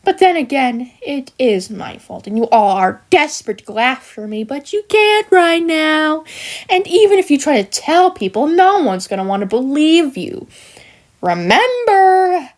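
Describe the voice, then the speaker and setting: A woman voicing a smug, villainous cartoon sheep creature who taunts in a theatrical, mocking manner with drawn-out words and hesitant asides. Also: high voice, sing-song. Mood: threatening, contemptuous. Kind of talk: scripted character monologue for a fan voice-over.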